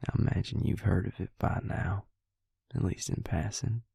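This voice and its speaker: A man playing a devious, smug male vampire in an intimate audio roleplay, taunting his victim slowly in a breathy, gravelly whisper. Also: on the low side, fairly even intonation, mellow, no room sound, studio quality, heavily acted. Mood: smug, menacing. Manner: slow, taunting, self-satisfied.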